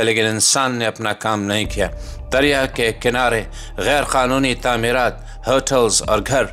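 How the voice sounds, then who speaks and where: A man's voice: medium pitch, bright, forceful tone. Solemn Pakistani man narrating over music. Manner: solemn, rhetorical, emphatic. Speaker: host and narrator of a commentary channel. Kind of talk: scripted dramatic documentary voice-over. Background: background music.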